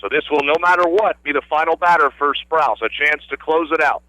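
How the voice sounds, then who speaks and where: Bright-voiced man calling baseball play-by-play. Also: on the high side, a little fast, no room sound, rough recording. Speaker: radio play-by-play announcer. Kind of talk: live baseball play-by-play commentary. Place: outdoor ballfield broadcast position.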